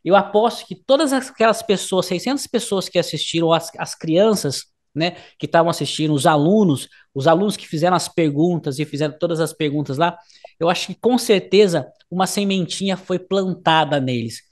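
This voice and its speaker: A man's voice: on the high side, a little fast. A man with a Brazilian Portuguese accent hosting an interview in a casual, conversational way. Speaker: interview host.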